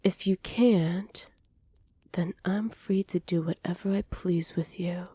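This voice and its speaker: A woman playing a flirty, cruel evil witch in a soft ASMR roleplay, teasing and smug, close to the mic. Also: fairly low pitch, fairly even intonation, breathy edge. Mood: sly, businesslike. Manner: teasing, smug, unhurried, often dropping to near-whispers. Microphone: close microphone, dry.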